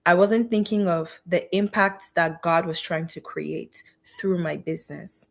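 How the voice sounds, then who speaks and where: Middle-aged woman, physician guest, earnest and faith-filled storytelling, low-pitched. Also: a little slow, gravelly. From Cameroon. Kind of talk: personal story in an interview.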